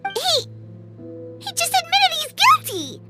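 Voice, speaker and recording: An excitable little fairy companion from a video game, with a tiny, extremely high childlike voice. The pitch jumps around animatedly and the delivery is squeaky and nervous. Music plays clearly behind it. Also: female voice, slow pace, heavily acted. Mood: startled. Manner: speaks of self in third person, squeaky and exclamatory.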